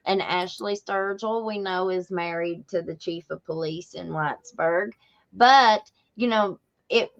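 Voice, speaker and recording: Unhurried Appalachian woman, folksy and chatty. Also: lowish voice, lo-fi sound. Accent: Southern Appalachian American. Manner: folksy, conversational. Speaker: podcast host. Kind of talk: casual chat.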